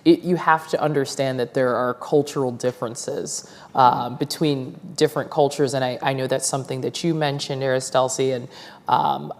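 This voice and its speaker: A woman moderating a panel discussion, asking measured questions and affirming the speakers. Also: a bass voice, steady tone, a little mellow, big-room sound. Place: university forum hall.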